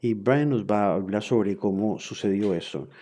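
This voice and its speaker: Low, dark-voiced male interpreter. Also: normal speed. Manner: hesitant, with frequent fillers and self-corrections. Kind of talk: simultaneous interpretation of a webinar lecture.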